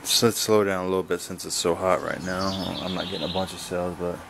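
A man giving a casual, laid-back vlog update in a halting, plain-spoken way, recorded on a handheld camera in a garage. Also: deep voice, flat delivery, fairly bright tone, a little hiss or hum. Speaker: wood-splitting video blogger. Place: garage, open to outdoors. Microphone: handheld camera's built-in mic.

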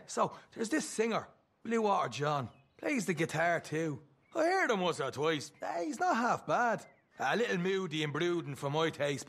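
An actor playing a cheeky, chatty young Irish gang rogue in a video game, talking in a jovial, rambling, teasing way. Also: male voice, fairly high pitch, fairly bright tone. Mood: cheeky, boastful. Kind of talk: video game cutscene acting.

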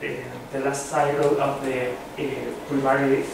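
A man with a Chilean Spanish accent giving a conference talk, halting, with many fillers and restarts. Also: mid-range voice, little pitch movement, gravelly. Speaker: conference presenter. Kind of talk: academic conference presentation. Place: seminar room with a long table. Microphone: far camera. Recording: echoey room from far off, background noise.

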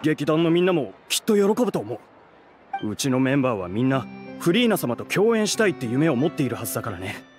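A man's voice: mid-range voice, big pitch swings, quick pace, faint music behind, close to an everyday voice. An actor playing an earnest, courteous young man from a theater troupe in a Japanese anime game. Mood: hopeful.

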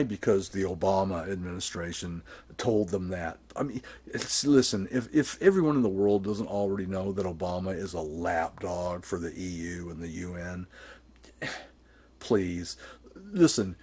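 A man's voice: low voice, normal speed, darkish tone, breathy edge. A man in his sixties rambling to a camera held at arm's length in a small garage workshop, blunt and profane, with many restarts. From born and raised in Germany. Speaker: vlogger. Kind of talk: casual rambling monologue to camera. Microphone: camera held close at arm's length.

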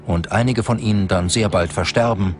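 A man's voice: lowish voice, normal speed. A man reading scripted documentary narration as the narrator.

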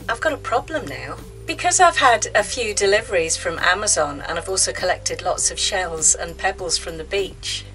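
A woman in her fifties chatting casually to the camera in a sailboat cabin, relaxed and quick to laugh. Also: fairly low pitch, rough recording. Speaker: sailing vlogger. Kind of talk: casual vlog chat. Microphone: handheld camera.

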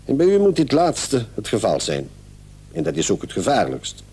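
An actor playing a brisk, authoritative doctor who is patronising and reassuring, in radio drama style. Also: male voice, mid-range voice, huge pitch swings, warm tone, slightly rough, exaggerated voice. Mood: reassuring, dismissive. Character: brisk, paternal male doctor, dismissive yet reassuring.

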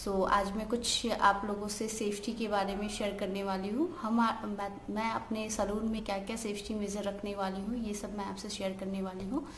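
A woman's voice: mid-range voice, little pitch movement, normal speed, hardly any pauses. Hesitant young woman in an echoey room. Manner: hesitant, many "uh" fillers, mixes English words. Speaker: salon owner. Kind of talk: casual talk to camera. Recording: echoey room, faint background hiss.

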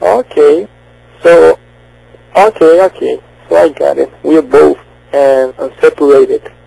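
A man's voice: a little high, slow, creaky, warm tone, rough recording. A man with a Brazilian accent calling a radio show, hesitant and checking that he understands. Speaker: caller. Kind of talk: phone-in conversation.

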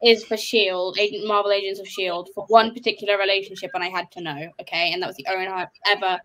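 A woman in her twenties hosting a casual video-call chat, excitable and giggly as she talks as a fan. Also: medium pitch, quick pace, noisy background. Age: 20s. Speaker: host. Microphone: headset.

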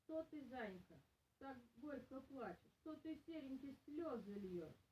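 A woman's voice: high-pitched, expressive, measured pace, darkish tone, a little hiss or hum. A woman voicing a brave, boastful little rooster from a folk tale with a bold, chanting delivery. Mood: concerned. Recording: roomy, distant camera microphone.

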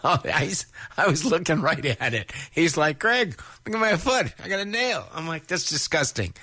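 A man's voice: a little high, very animated, moderate pace, no echo. A man in his fifties working as a country radio DJ, chatting casually in a folksy, jokey, conversational way between songs. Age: 50s. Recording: loud music under the voice. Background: music bed.

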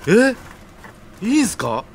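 A man's voice: extremely high pitch, wildly swooping pitch, takes it slowly, creaky, background noise, full character voice. A man playing an earnest, bashful young man in an audio drama, speaking in casual but deferential slang. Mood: excited, grateful. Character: earnest young man, breezy, bashful junior, newly engaged.

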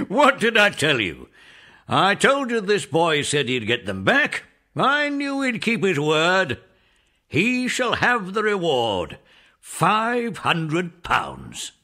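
A man's voice: high voice, huge pitch swings, takes it slowly, pushed and bright, pitched above the performer's own voice, exaggerated voice. A man playing a jolly, kindly old British general with a hearty manner in a children's audio story. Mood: delighted. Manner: hearty, chuckling exclamations.

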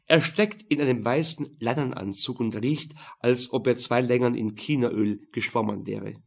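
A man's voice: medium pitch, moderate pace, vocal fry. A man narrating a crime novel as a scripted audiobook.

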